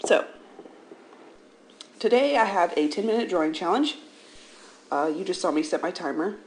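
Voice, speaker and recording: A woman with a low voice that sounds a little scratchy, as if early in the morning. She talks casually over a drawing video as the channel's host. Her delivery rambles and pokes fun at herself. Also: lively intonation, moderate pace. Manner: rambling, self-deprecating. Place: indoors, seated at a computer.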